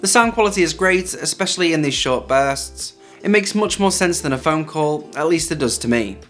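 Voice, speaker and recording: A man's voice: on the high side, lively intonation, normal speed, a bit bright. A man hosting a tech video, narrating a scripted hands-on review. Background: background music.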